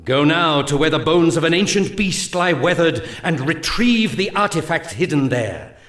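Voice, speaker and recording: Give the voice of a solemn alien elf commander, pitched on the high side for a male. He declaims in lofty, archaic phrases, rallying warriors with holy zeal in a strategy game briefing. The sound carries a roomy echo. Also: expressive, normal speed, a bit bright. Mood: stern, rousing. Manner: grandiose, archaic, declamatory oratory. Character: solemn, zealous alien elf war leader rallying his troops. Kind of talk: scripted dramatic game mission briefing.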